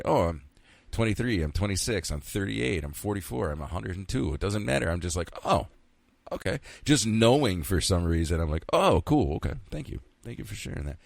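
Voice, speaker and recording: A man's voice: deep voice, expressive, fairly quick, vocal fry, dry recording. A man in his fifties hosting a solo podcast, rambling casually, talking to himself and swearing now and then. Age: 50s. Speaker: podcast host.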